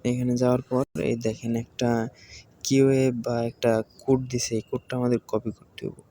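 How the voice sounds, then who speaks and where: Flat-voiced Bangladeshi man explaining step by step. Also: mid-range voice, fairly quick. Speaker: tutorial presenter. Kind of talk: informal screen-recording tutorial narration. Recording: home recording with faint background noise.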